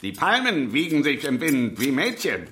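Theatrical, sharp-voiced old tailor. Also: male voice, medium pitch, wildly swooping pitch, slight background noise. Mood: dreamy, wistful. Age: older. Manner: theatrical, slow, repeating himself. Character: old tailor, coughing, grumpy yet dreamy, teasing. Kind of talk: German children's radio play acting.

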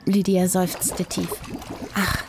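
A woman narrator telling a scripted children's radio play story in an expressive way. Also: lowish voice, slow, over music.

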